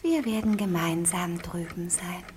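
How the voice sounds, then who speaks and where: An actress playing a defiant young damsel in distress, overwrought and declamatory, in a 1950s German radio parody. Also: female voice, on the low side, measured pace, natural voice. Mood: solemn, hushed. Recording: noisy, hissy old radio recording.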